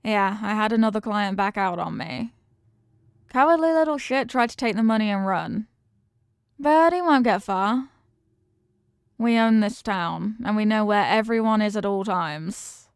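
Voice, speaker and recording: A woman's voice: mid-range voice, expressive, a little slow, smooth, no echo, clean studio sound. A woman playing a confident, affectionate mafia boss in an intimate roleplay, teasing and streetwise, with slangy talk and pet names. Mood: contemptuous. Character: confident, doting female mafia boss, tough but affectionate. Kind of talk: intimate ASMR-style roleplay acting.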